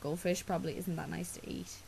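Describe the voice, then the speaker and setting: Irish teen girl rambling on camera, sore throat, lowish voice, full of ums and likes. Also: steady tone, a little fast, creaky, darkish tone, slight background noise, poor audio. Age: teens. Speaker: vlogger. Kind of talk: casual chat.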